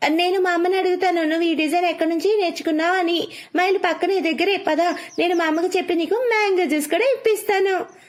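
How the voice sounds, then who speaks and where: A woman's voice: very high voice, steady tone, fast, pitched above the performer's own voice. A woman voicing a curious, bossy ten-year-old girl in a cartoon story, childlike and insistent. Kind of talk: cartoon moral story acting.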